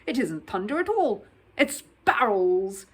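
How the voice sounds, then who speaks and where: A blustering older gentleman of a factory owner, acted by a woman reading a storybook, pompous and booming, yet kindly underneath. The delivery swings widely in pitch and has a slightly husky edge. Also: very animated, measured pace. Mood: startled bluster. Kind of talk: children's storybook character voice.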